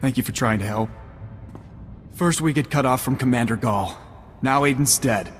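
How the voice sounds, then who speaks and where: An actor playing an embittered, loyal soldier of a prisoner commando unit in a video game, intense and emotional. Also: male voice, mid-range voice, moderate pace, natural voice. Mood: despairing. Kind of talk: video game dramatic dialogue. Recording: long reverb, music underneath.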